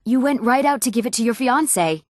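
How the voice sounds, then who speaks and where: A young woman playing an eager, earnest townswoman in a fantasy video game, speaking expressively and clearly. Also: a little high, expressive, a little fast, dry recording, clean studio sound, natural voice. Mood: chatty, reproachful. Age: young adult. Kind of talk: scripted fantasy game dialogue.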